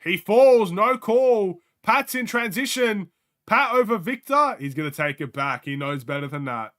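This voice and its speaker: A young Australian man with a light accent talks through a live basketball watchalong, reacting excitably and chatting with viewers. His voice sits a bit high for a man, with a bright, forceful edge. He laughs easily and keeps the commentary loose and casual. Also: measured pace. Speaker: streamer hosting a game watchalong.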